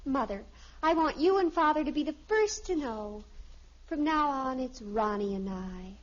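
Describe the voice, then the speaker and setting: An actress playing a melodramatic, lovestruck American teenage daughter with affected sophistication in a 1950s radio sitcom. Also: female voice, high voice, expressive, normal speed. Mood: smitten, indignant. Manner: pompous, theatrical, dreamy. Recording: dull vintage radio recording.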